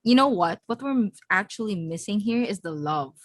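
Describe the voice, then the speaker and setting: A woman's voice: mid-range voice, animated, moderate pace, no echo, studio quality. A young woman with a Filipino accent co-hosting a casual podcast chat, talking frankly, laughing often and restarting mid-sentence. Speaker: podcast co-host.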